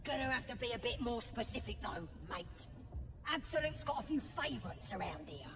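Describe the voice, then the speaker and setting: An actress with a British accent playing a brash, crude female goblin guard in a video game, gruff, slangy and mocking. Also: on the high side, sing-song, normal speed, far from the mic, music in the background.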